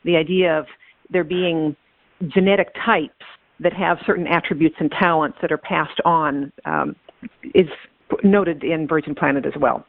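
A woman chatting thoughtfully about books as a guest, pausing often with ums. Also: deep voice, creaky, no room sound. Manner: thoughtful, hesitant with many ums. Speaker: guest, author's daughter. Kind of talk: casual book discussion. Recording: dry tinny phone line.

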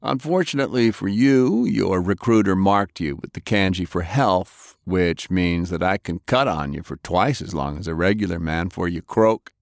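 A man voicing a rough, sardonic interrogator who talks in a folksy, taunting rural American way. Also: sits low, moderate pace, hardly any pauses, dry recording, clean studio sound. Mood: menacing, taunting.

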